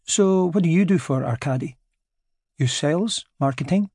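A man's voice: a little high, moderate pace, a little mellow, breathy edge, dry recording, clean studio sound, pitched above the performer's own voice. A man voicing a mild, dryly ironic Scottish man in his thirties, with a Scottish accent. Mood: polite. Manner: polite, understated, dryly ironic.

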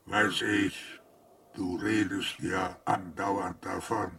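A morose robot in a German radio play. The voice is lowish and creaky, and it drags every word out very slowly. It sounds deadpan, bitter and contemptuous. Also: male voice, heavily acted. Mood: weary contempt. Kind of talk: German radio drama acting.

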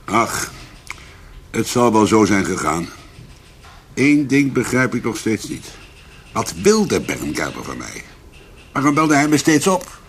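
An actor playing a grave, probing police investigator in a radio drama, speaking in a measured, deliberate way. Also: male voice, lowish voice, sing-song, a little hiss or hum, exaggerated voice. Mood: troubled.

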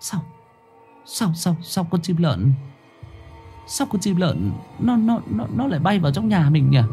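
A man's voice: mid-range voice, sing-song, normal speed, mellow, soft background music, close to an everyday voice. A man voicing a fretful older countrywoman, a mother-in-law who scolds and soothes and stammers with worry in a horror story. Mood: alarmed. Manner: stammering repetitions when anxious.

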